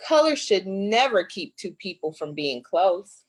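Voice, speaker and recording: A woman from Flint in the United States, an author reading her children's book aloud with deliberate, playful expression. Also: medium pitch, big pitch swings, slightly rough.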